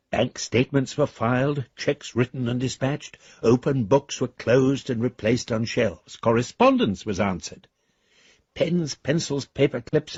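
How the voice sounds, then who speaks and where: A man's voice: medium pitch, a little mellow, slightly rough, dry recording, muffled recording. An actor playing an eccentric, grandiose amateur philosopher, reading his letter in pompous, florid phrases for a radio drama.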